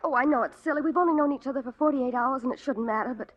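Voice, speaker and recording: High-voiced, wistful, guarded sweetheart, vintage radio. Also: female voice, very fast. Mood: hesitant, wistful. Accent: American. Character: guarded, wistful young woman, wry and evasive sweetheart. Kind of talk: 1940s radio acting. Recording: muffled old radio broadcast.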